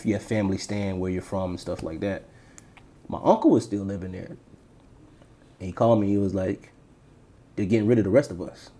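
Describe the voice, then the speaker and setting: A man's voice: fairly low pitch, dark tone. A man from Florida being interviewed as a podcast co-host, telling personal stories in a laid-back way and repeating phrases for emphasis. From Tampa, Florida, United States. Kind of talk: casual personal storytelling.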